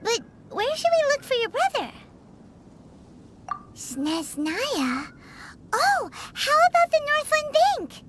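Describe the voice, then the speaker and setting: A woman voicing a tiny, childish flying fairy companion in a video game, squeaky, sassy and exclamatory. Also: wildly swooping pitch, slow pace, long pauses, very noisy, full character voice. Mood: chatty, curious. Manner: speaks of self in third person, exclamatory.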